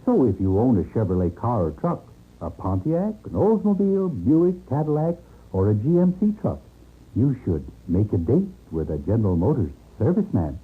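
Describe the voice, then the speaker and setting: An American man with a husky voice reading a vintage radio commercial as announcer. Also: medium pitch, big pitch swings, moderate pace. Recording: tinny old radio recording.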